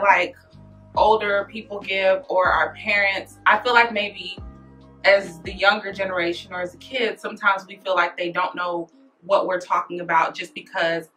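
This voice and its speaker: A woman's voice: medium pitch, sharp tone, rough recording. A woman in her twenties, a guest on a casual chat show, talking earnestly with restarts then firm emphasis. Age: 20s.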